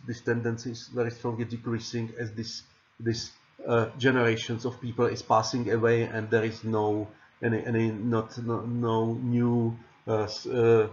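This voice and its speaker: A man from the Czech Republic with a Czech accent, a non-native speaker giving a recorded lecture over slides, stumbling over repeated words and fillers. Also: mid-range voice. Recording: dull lo-fi audio.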